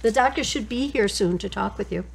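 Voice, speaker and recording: Creaky, husky woman acting as a calm nurse. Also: mid-range voice, a little fast. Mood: calm, businesslike. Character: calm, courteous female intensive care nurse. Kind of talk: audio drama dialogue.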